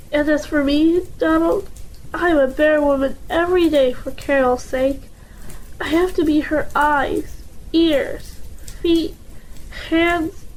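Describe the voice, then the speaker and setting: A woman playing a devoted, gentle, pious mother with tender, earnest delivery in a period audio drama. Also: high-pitched, slight background noise, low-quality recording. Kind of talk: family audio drama acting.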